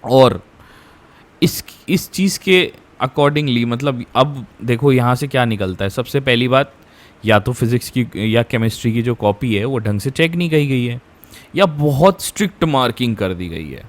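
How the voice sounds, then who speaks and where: Indian man, medium pitch, education host, informal explainer, conversational, code-switching, emphatic repetition. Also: expressive, measured pace. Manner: conversational Hindi-English code-switching, repeats phrases for emphasis. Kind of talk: informal explainer addressed to students.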